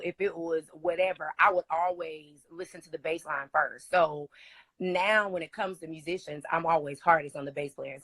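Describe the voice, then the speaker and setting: A young woman with a low, raspy singer's voice talks as an interview guest, gushing about music with plenty of ums and likes. Her voice sits fairly low and the sound is dry and close. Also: no echo. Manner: chatty, enthusiastic, many fillers. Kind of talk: casual interview chat.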